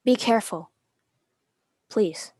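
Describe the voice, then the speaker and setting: A woman's voice: mid-range voice, slow, mellow, breathy edge, no room sound. A woman playing an earnest, anxious noblewoman in a dramatic script reading. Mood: anxious, urgent.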